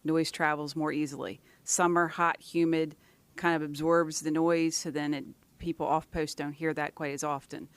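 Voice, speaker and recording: A woman with a smooth, clean voice gives explanatory answers in an interview. She is matter-of-fact and keeps her phrases short, and the sound is close and dry. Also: low voice, flat delivery, moderate pace, no room sound. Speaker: environmental scientist, interviewee.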